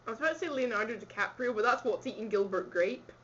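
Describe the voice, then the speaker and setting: A woman's voice: on the high side, lively intonation, fast, a bit bright, muffled recording, a little hiss or hum. Giggly woman with a slight Scottish accent. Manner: chatty, giggly, rambling. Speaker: vlogger. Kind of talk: casual chat answering a questionnaire.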